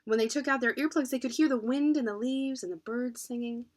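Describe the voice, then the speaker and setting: An adult woman narrating a children's storybook in an expressive reading style. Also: fairly high pitch, a little fast. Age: adult, parent. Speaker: children's book reader.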